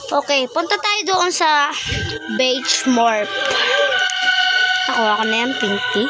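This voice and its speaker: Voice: sits high, animated, slow, loud music behind the voice, rough recording. A Filipino speaker doing casual game playthrough commentary, chatty and exclamatory, addressing viewers directly. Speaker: gaming vlogger.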